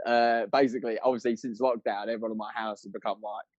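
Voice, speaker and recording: A man's voice: lowish voice, flat delivery, fast, darkish tone, dry recording, muffled recording. A British man telling anecdotes as a podcast guest, chatty, rambling and full of casual slang.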